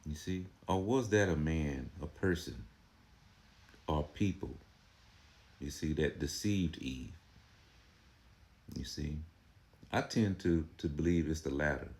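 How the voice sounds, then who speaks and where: A man's voice: extremely low pitch, slow, long pauses, a little mellow. A man giving casual commentary on religious teaching, preachy and argumentative, full of "you know" and rhetorical questions. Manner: repetitive, filler-heavy, rhetorical questions.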